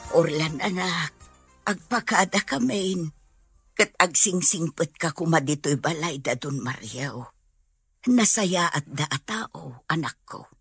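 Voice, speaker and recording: A woman's voice: deep voice, bright, forceful tone, husky, no room sound, exaggerated voice. A woman playing a humble, pious mother in an Ilocano radio drama, earnest and imploring.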